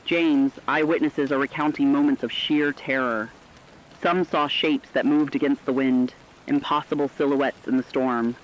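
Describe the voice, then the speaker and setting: A man's voice: medium pitch, fairly even intonation, close to an everyday voice. Man playing an ominous radio co-anchor, muffled sound. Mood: solemn, foreboding. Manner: solemn, foreboding, measured. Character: ominous radio co-anchor warning of an ancient legend. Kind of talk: scripted radio horror news narration. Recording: muffled lo-fi audio, faint noise.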